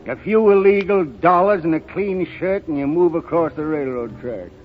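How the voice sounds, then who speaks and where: A man's voice: fairly high pitch, moderate pace, close to an everyday voice. An actor in 1930s radio drama style, playing a proud, haughty, hard-drinking middle-aged lawyer and father with a theatrical, expansive manner. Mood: scornful. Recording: muffled vintage 1930s radio broadcast.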